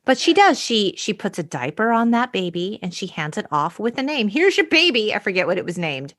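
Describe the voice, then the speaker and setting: Animated woman podcaster with wry banter. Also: mid-range voice, a little fast. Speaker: podcast co-host. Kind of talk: casual comedic chat.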